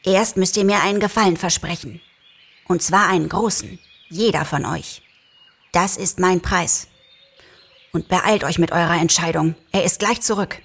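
Man voicing a husky, high-pitched shrewd fox. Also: moderate pace, fairly bright tone, exaggerated voice. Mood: bargaining. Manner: urgent, conspiratorial. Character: shrewd, urgent, bargaining male fox.

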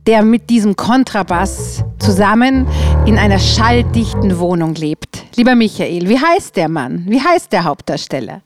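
A woman's voice: medium pitch, sing-song, measured pace, over music. A woman hosting a podcast and interviewing a guest in a conversational style.

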